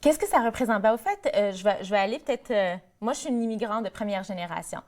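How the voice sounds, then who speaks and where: A woman's voice: mid-range voice, lively intonation, fast, a little mellow. A young woman from Morocco, a board treasurer, answering questions in a TV interview, with many fillers and restarts. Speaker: guest, board treasurer of a community association. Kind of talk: TV interview, casual conversation.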